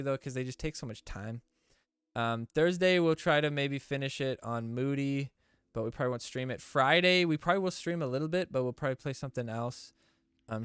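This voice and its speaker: A man's voice: mid-range voice, clean tone, no room sound, studio quality. A man hosting a gaming live stream in casual commentary, sounding stuffy and rambling with lots of fillers and restarts. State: stuffy nose.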